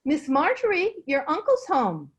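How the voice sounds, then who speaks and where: Woman acting a high, swooping folksy housekeeper. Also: huge pitch swings, a little slow, exaggerated voice. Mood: bustling. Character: familiar, outspoken housekeeper, a woman. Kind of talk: old-time radio comedy acting.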